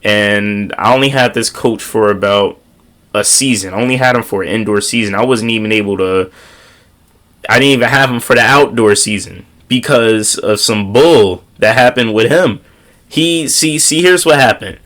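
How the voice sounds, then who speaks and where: A man's voice: lowish voice, moderate pace, a bit bright. A young man with a congested voice from a slight cold speaks to his viewers outdoors. He gives earnest, slangy motivational advice and repeats his key lines for emphasis. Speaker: motivational vlogger. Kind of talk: casual motivational talk to viewers. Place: outdoors on a windy day.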